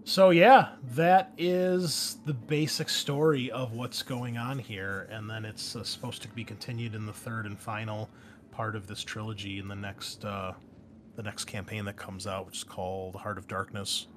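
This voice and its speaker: A man with a fairly low voice talks as a game master and podcast host. His delivery is informal and halting, like casual explanation among players. There is faint noise in the recording. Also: fairly even intonation. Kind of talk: casual explanation and chat on an actual-play podcast.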